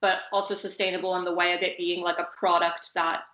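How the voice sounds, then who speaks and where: A woman from California hosts a video call as a fashion designer. Her voice is bright and rather low, with a flat, even delivery. She hedges constantly with "sort of" and "um", and the sound is roomy. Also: fast. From California, United States. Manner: hedging, many fillers like "sort of" and "um". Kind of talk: casual live-streamed conversation.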